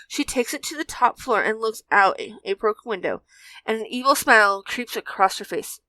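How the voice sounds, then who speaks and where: Woman narrator, fairly high voice, bright tone, dry recording, scripted storytelling. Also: animated, normal speed, no echo, low-quality recording.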